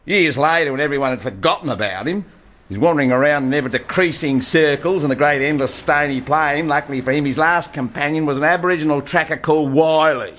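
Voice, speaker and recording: A man's voice: on the high side, fast, a bit bright, close to an everyday voice. An actor with an Australian accent playing a brash, profane bohemian man telling a swaggering yarn in a radio play. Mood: ranting yarn. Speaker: bohemian blues musician and storyteller.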